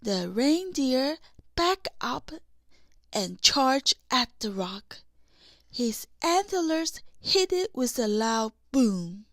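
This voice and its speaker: Woman, children's audiobook narrator, high pitch, very slow measured pace, dry close sound. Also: big pitch swings, dry recording. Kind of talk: slow scripted children's story read-aloud.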